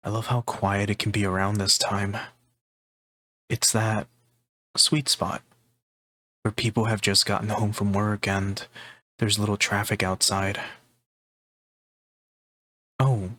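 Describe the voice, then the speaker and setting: A man playing a gentle, affectionate partner in a soft-spoken ASMR roleplay, tender and intimate, pausing often. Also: on the low side, steady tone, no room sound. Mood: serene, soothing. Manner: tender, unhurried, intimate, with pauses. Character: gentle, affectionate, teasing male partner offering comfort.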